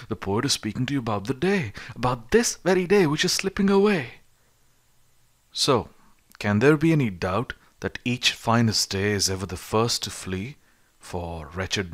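Low-pitched man reading an audiobook. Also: a bit bright. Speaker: audiobook reader. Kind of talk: scripted audiobook narration of philosophy.

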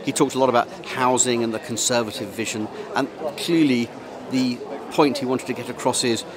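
A middle-aged man reporting on location at a conference venue, in a dry, measured analytical way. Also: mid-range voice, steady tone, a crowd in the background. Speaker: political commentator and reporter. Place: busy conference venue indoors.

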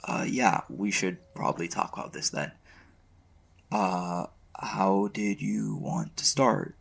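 A man acting as a shy, smitten young college mage in an intimate boyfriend roleplay, speaking casually and haltingly. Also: fairly low pitch, steady tone, gravelly, fairly bright tone, heavily acted. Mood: casual, tentative. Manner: hesitant, halting, casual slangy delivery.

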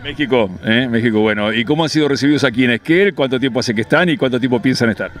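A man, asking brisk prompting questions as a street reporter outdoors. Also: mid-range voice.

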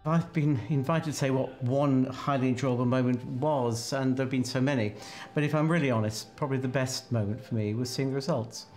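A man's voice: medium pitch, steady tone, a little fast, hardly any pauses, darkish tone. Older man giving reflective interview answers over music. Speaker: researcher interviewed about a project. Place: small office indoors.

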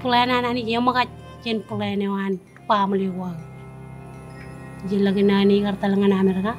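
Even-toned young woman over music. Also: mid-range voice, a little fast. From Marshall Islands. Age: young adult. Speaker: ministry leader. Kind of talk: documentary interview. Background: music behind the voice.

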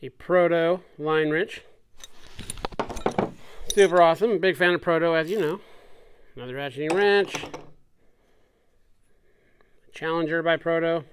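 Shop owner, male, relaxed tool-haul talk, slightly high voice, faint clicks behind. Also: measured pace, long pauses, a little hiss or hum. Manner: relaxed, chatty, naming tools as he unpacks. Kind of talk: casual chat to camera. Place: workshop bench, indoors.

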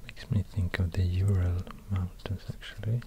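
A man with a Swedish accent murmuring ASMR in a breathy whisper, hesitantly and close to a binaural microphone. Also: sits low, fairly even intonation, background noise. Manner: slow, hesitant, murmuring to the listener. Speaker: ASMR creator. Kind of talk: soft-spoken whispered ASMR.

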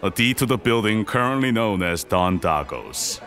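An older, powerful tycoon in a podcast drama. His low voice is composed and formal, every phrase deliberate, with light music underneath. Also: animated, fairly bright tone, a faint crowd. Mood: composed, gracious. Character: powerful, composed older chaebol businessman and father. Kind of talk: audio drama acting.

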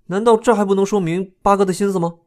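An actor playing a frank, excitable young prince, the outspoken younger brother, in an audio drama. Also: male voice, high-pitched, quick pace, natural voice. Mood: indignant, insistent.

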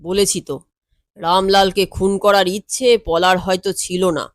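Woman as a composed, knowing male lawyer, unhurried. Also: fairly low pitch, flat delivery, no room sound, clean studio sound, lightly acted. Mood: matter-of-fact. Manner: measured, matter-of-fact, wry. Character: composed, knowing male lawyer, world-weary elder brother figure.

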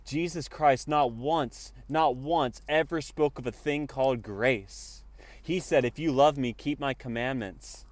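A man's voice: medium pitch, unhurried, dry recording. A young man preaching emphatically into his phone from inside a car, using an earphone mic and saying y'all often. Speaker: spiritual vlogger. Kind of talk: preachy selfie vlog monologue. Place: parked car. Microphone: earphone mic, phone at arm's length.

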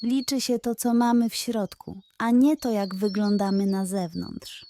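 A man's voice: high-pitched, level tone, a little slow, dark tone, a little hiss or hum. A woman voicing a playful, wise male fox who teases and reassures in a children's bedtime story. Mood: reassuring. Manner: teasing yet reassuring.

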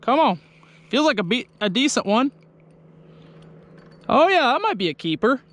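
Young guy, high-ish voice, casual fishing vlog from a boat on a lake, quick to get hyped. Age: young adult. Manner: chatty, excitable when fish bite. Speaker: fishing vlogger. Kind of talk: casual outdoor vlog commentary. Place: small boat on a lake outdoors. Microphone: action camera on the boat.

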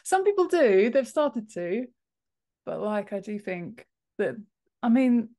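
A woman's voice: a little high, sing-song, slow pace, mellow. A woman in her thirties speaking as an interview guest, informal and emphatic, on a video call. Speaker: podcast guest, author and book formatter. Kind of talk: casual interview explanation. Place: home office room, indoors. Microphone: earphone headset on a video call.

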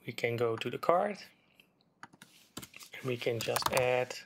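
Man with a gravelly voice explaining code. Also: mid-range voice, slow pace, noisy background. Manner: relaxed, step-by-step explaining while typing. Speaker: game development tutor. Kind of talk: screen-recorded coding tutorial. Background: keyboard typing and mouse clicks.

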